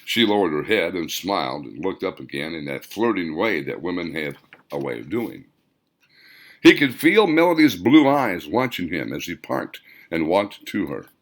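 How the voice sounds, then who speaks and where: A man's voice: sits low, very animated, normal speed. A man reading a scripted story aloud as the author and narrator of an audiobook.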